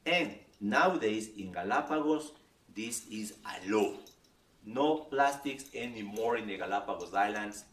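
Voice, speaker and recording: A man's voice: on the low side, fairly bright tone. A middle-aged man from Ecuador speaks English with an Ecuadorian Spanish accent, a native Spanish speaker. He gives friendly packing advice to camera and often checks in with "okay?" and "yeah?". He sounds a little distant from the mic in a reverberant room, with faint ambient noise. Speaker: travel guide. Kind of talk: casual explainer to camera.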